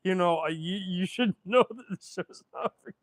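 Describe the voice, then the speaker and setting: A man in his twenties with a slightly high voice chats loosely to a live audience. He reads out comments and rambles back at them, laughing and tripping over his words as he goes. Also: moderate pace, a little hiss or hum. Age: 20s. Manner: laughs a lot, stumbles and repeats words. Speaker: streamer. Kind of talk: casual livestream chat.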